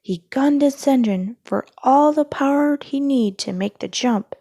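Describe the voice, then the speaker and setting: Slow, mellow woman reading a storybook. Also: high-pitched, no echo, clean studio sound. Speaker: storyteller reading children's books aloud. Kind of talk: scripted children's storybook narration.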